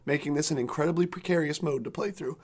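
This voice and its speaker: Casting: male podcast co-host, a bit hoarse, slightly higher voice, reads news stories in a relaxed tone. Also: quick pace. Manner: clears throat, reads quotes in runs. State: losing his voice. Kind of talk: casual chat, reading news aloud.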